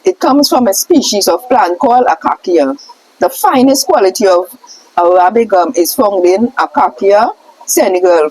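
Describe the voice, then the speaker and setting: A woman reading a scripted question-and-answer lesson aloud, setting out names and lists deliberately. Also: fairly high pitch, a little mellow, slightly rough, low-quality recording. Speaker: reader of a scroll text.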